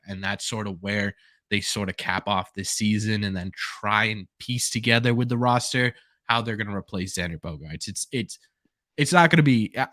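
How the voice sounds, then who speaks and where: A man's voice: on the low side, a bit bright, no echo, studio quality. A man guesting on a sports podcast, speculating thoughtfully about his team's options. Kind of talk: casual sports analysis.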